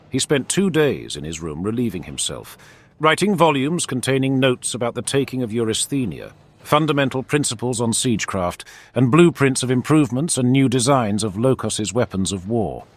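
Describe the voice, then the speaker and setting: A man's voice: lowish voice, a bit bright. A man narrating a fantasy war story in a scripted, dramatic storytelling style.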